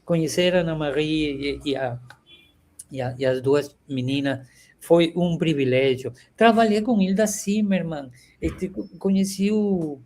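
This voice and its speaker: Venezuelan man, halting reflective guest. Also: a little high. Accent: Venezuelan Spanish. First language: Spanish. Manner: warm, halting, frequent filler "este". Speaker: interview guest. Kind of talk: reflective conversational interview.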